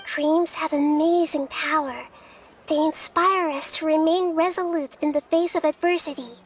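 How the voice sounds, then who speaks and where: A woman voicing a small, wise, gentle goddess who speaks in a measured, formal way in an anime game. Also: high voice, fairly even intonation, a little hiss or hum. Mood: gentle, sincere. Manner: measured, soft-spoken, formal. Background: background music.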